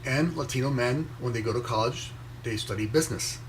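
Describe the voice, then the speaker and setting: Man, raised in Venezuela, young adult, motivational reading, slow measured phrasing, mid-pitched voice, faint background hiss. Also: poor audio. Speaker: inspirational speaker reading his own post. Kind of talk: reflective motivational reading and commentary.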